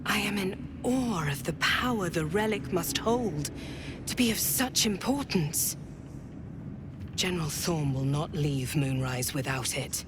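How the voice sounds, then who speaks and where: An actress playing a seductive, menacing female commander of a dark cult in a video game, sultry and commanding in manner. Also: on the low side, lively intonation, measured pace, background noise. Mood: stern, commanding.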